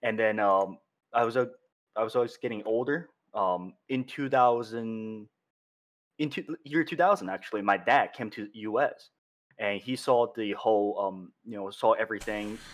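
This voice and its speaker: A man from China with a somewhat low voice tells a story on a casual podcast. He rambles and often restarts his sentences. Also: fairly bright tone. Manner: rambling, many fillers and restarts. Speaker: podcast guest.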